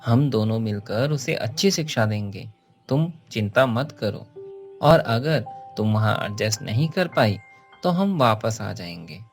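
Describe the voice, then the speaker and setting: A man's voice: fairly low pitch, lively intonation, unhurried, faint music behind. A man playing an earnest office worker and devoted family man in a cartoon story, explaining himself plainly. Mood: reassuring.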